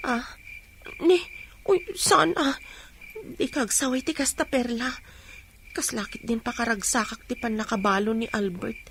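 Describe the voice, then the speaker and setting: A woman acting a gentle working mother in a Filipino radio drama, with a Filipino accent. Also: fairly high pitch, unhurried, long pauses. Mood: hesitant. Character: gentle working mother abroad named Korason, grieving sympathetically. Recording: faint background noise.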